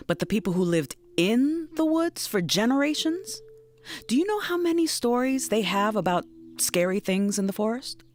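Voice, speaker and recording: A woman's voice: mid-range voice, natural voice. An actress playing a blunt, wry, brooding woman who swears and muses in naturalistic audio drama dialogue.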